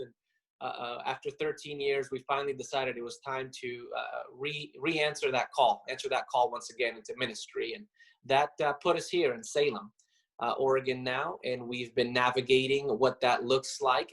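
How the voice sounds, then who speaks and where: Man, interview guest, thoughtful, pauses and fillers. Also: medium pitch, a bit bright. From Romania. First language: Romanian. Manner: reflective, halting, many fillers. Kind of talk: casual interview.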